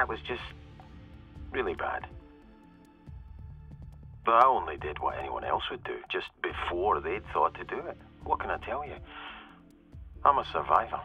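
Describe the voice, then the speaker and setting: A man playing a weary, cynical security corporal in a video game, recording a halting, rueful audio diary as a survivor of a flooded world. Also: sits low, highs cut off. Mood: grim, defensive. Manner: halting, rueful, confiding, with pauses and self-corrections. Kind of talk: in-character audio diary monologue, dramatic game acting.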